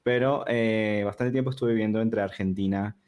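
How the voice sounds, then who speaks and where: A man in his thirties, born in Peru, who talks with a River Plate Spanish accent, chatting as a guest in a relaxed, talkative way with many fillers and restarts. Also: on the low side, moderate pace, smooth. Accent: Rioplatense Spanish. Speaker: guest, digital product designer. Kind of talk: casual interview conversation.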